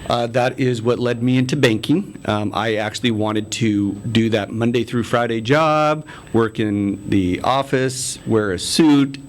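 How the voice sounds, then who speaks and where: A man's voice: mid-range voice, steady tone, measured pace, few pauses, slight background noise. A man in his forties being interviewed, talking in a friendly way with many ums and laughs. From United States. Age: 40s. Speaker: interview guest, city council candidate. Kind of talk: casual interview conversation.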